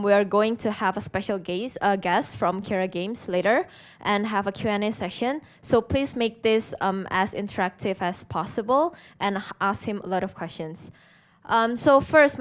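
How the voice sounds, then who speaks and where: A woman's voice: medium pitch, clean tone. A woman from Indonesia with an Indonesian accent, speaking as a presenter to a conference audience in an interactive way.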